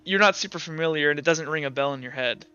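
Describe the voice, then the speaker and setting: A man's voice: on the high side, fast, bright, forceful tone, smooth, no echo, dull audio, faint music behind. A man working as game master, casually improvising narration and rulings for a tabletop game.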